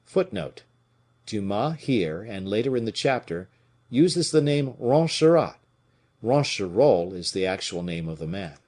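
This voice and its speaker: A man's voice: fairly low pitch, big pitch swings, unhurried, a little mellow. A man narrating a classic novel as a scripted audiobook reading.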